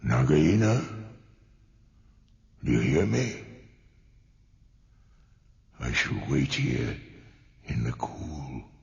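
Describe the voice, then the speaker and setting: A man voicing a large, cold male cobra in an old radio story, slow and deliberate. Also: a bass voice, very animated, creaky, long reverb tail, dull audio, full character voice. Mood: menacing. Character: huge cold male cobra, patient killer. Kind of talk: 1940s radio acting.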